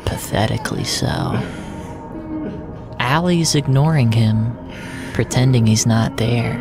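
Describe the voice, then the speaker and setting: A man narrating a scripted audio drama in a solemn, deliberate storytelling manner. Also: medium pitch. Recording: echoey, with music underneath. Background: music.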